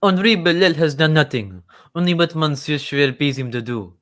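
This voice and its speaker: Man acting courteous, earnest gentleman sidekick, radio drama. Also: fairly high pitch, lively intonation, moderate pace. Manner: courteous, formal, old-fashioned phrasing.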